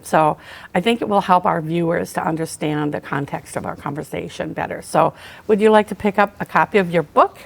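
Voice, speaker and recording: A woman's voice: lowish voice, a little fast, vocal fry, husky. A woman hosting a local TV author interview, asking questions and offering encouraging remarks. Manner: encouraging, warm affirmations. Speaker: local TV talk show host.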